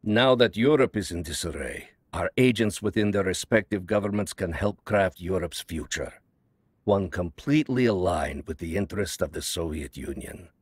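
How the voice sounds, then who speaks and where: An actor playing a calm, ruthless Soviet spymaster, speaking slowly and with cold confidence. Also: male voice, low voice, husky, no room sound, clean studio sound. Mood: cold, commanding. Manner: slow, measured, coldly confident.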